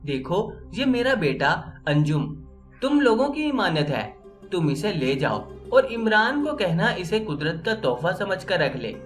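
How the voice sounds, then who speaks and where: Woman performing a lovelorn mother in an audio story: insistent, pleading, swooping pitch, soft music bed. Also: sing-song, measured pace. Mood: urgent, insistent. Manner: urgent, pleading, persuasive.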